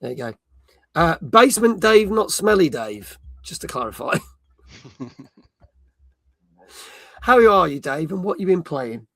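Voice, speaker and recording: A middle-aged man hosts a casual live-stream chat in a worn-out, croaky voice that sits high and sharp. He talks cheekily with his viewers, close to the mic in a dry room. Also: sing-song, unhurried, pauses often, no echo. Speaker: host.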